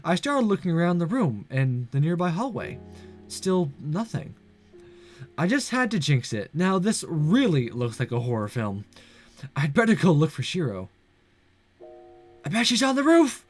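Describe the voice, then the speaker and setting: A man's voice: a little high, big pitch swings, a little slow, long pauses, soft background music. A man reading aloud as an anxious teenage schoolboy narrating a spooky visual novel, wry and muttering to himself. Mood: nervous, exasperated.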